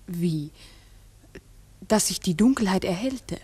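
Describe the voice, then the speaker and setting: An actress playing an earnest village schoolteacher in a 1960s radio drama, asking careful, polite, probing questions. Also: female voice, medium pitch, animated, takes it slowly, dark tone, breathy edge, no room sound, heavily acted. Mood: careful, intrigued.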